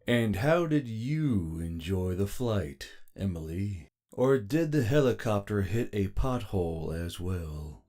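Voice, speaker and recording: A woman's voice: a bass voice, animated, takes it slowly, few pauses, dark tone, pitched below the performer's own voice, exaggerated voice. A woman voicing a giant male cyborg with a robotic voice, sarcastic and curt, in an audio story. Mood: dry teasing. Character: giant male cyborg robot man, dry, mocking, metallic.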